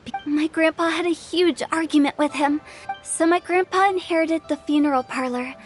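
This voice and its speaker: A woman's voice: sits high, steady tone, normal speed, faint music behind, lightly acted. A woman playing a cheeky young funeral parlour director in a video game, youthful, theatrical, wordy and teasing. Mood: explanatory. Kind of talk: video game character acting.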